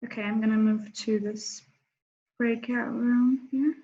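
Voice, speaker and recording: A woman's voice: a little high, steady tone, unhurried, warm tone. A woman hosting an online workshop, chatting casually and a bit hesitantly as she organises people over a video call. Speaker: online workshop host managing breakout rooms. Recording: dull lo-fi video call audio.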